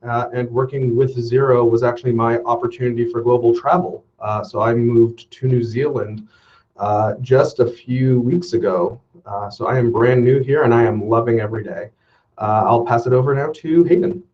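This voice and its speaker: A man's voice: medium pitch, fairly even intonation, moderate pace. A man from Canada, a functional lead, introducing himself on a video call with many hesitant uhs. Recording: dull lo-fi call audio.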